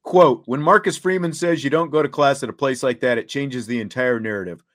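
A man hosting a sports talk show, reading and commenting in a deliberate way, laying out points step by step. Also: mid-range voice, fairly bright tone.

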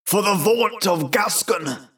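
A man's voice: medium pitch, lively intonation, slow pace, distant mic. A man voicing a proud, stern medieval Scottish knight with solemn, declamatory phrasing in a storybook tale. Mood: triumphant.

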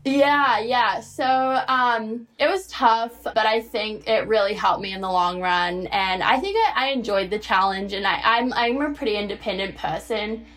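A woman's voice: a little high, measured pace, few pauses. A young woman, answering interview questions casually with many fillers. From New Zealand. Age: 20s. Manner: relaxed, many fillers and likes. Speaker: college tennis player guest.

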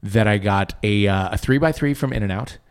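A man's voice: fairly low pitch, normal speed, smooth. A man, laid-back and dry, telling anecdotes in casual chat.

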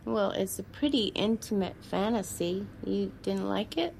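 A woman's voice: medium pitch, unhurried, gravelly, darkish tone, slight background noise, low-quality recording. A woman, middle-aged or older, playing a frank, teasing mother of a grown daughter in a naturalistic audio drama. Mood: guarded, frank.

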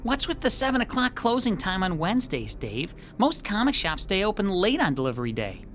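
A man's voice: high-pitched, huge pitch swings, a little fast, fairly bright tone, faint music behind, exaggerated voice. A man playing an argumentative, sarcastic comic book geek with a skeptical manner in a radio drama. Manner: skeptical, exasperated.

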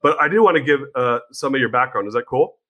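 A man's voice: medium pitch, expressive, quick pace, highs cut off. Upbeat, casual male podcast host. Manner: upbeat, casual, stumbles over restarts.

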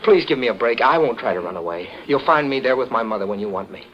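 An actor playing an earnest, wounded young playwright, emotional and pleading in the style of 1940s radio melodrama. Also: male voice, medium pitch, expressive, fast, lightly acted. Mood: anxious, pleading. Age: young adult. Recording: muffled vintage 1940s radio recording.

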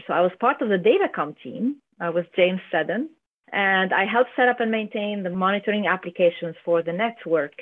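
A middle-aged woman from Lebanon who directs a university IT team. She is a podcast guest and explains things earnestly, step by step. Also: on the low side. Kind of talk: conversational interview answers. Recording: tinny phone-like line.

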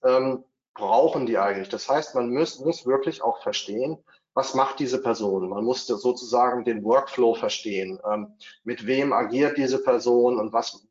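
A bilingual man giving a webinar talk, hesitant, with many fillers and restarts. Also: medium pitch. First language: bilingual, not only German. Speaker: webinar speaker on developer marketing. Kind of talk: webinar presentation. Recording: roomy, dull lo-fi webinar audio.

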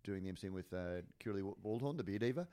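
Male podcast host, low and throaty, casual, stop-start delivery. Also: expressive, mellow. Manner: halting, repeats words and fills with "uh". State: hoarse. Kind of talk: casual chat.

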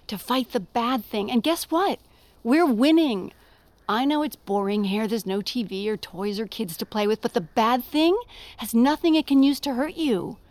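A woman playing an anxious, coaxing, protective mother in an audio drama, often dropping to a hushed tone. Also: a little high, normal speed, no room sound, natural voice. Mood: determined.

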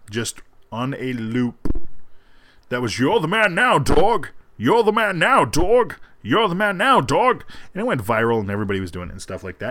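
A man chatting casually and haltingly as a host introducing a reading. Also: on the low side, fairly bright tone. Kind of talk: casual chat.

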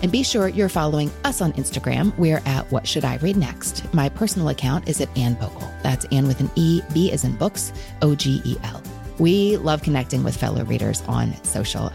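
A woman's voice: sits low, gravelly, dark tone. A woman hosting a book podcast, chatting with guests and addressing her listeners. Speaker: podcast host. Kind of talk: warm book-podcast hosting, interview and sign-off.

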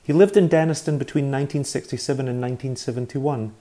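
A man's voice: medium pitch, quick pace, mellow, voice pushed up, lightly acted. A man voicing a timid young boy who speaks with anxious, exaggerated politeness. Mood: nervously polite. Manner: overly polite, nervous.

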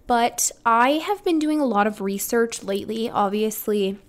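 A woman's voice: mid-range voice, clean tone. A young woman hosting a solo podcast, talking casually in an upbeat, rambling way with a slightly congested voice. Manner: chatty and upbeat, rambling with restarts. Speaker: podcast host.

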